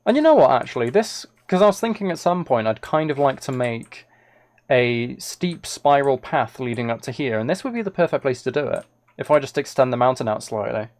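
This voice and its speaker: Rambling man streamer with creaky voice. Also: mid-range voice, lively intonation, brisk, darkish tone. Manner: rambling, musing to himself while playing. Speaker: live streamer. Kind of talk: casual gaming livestream chat, thinking aloud.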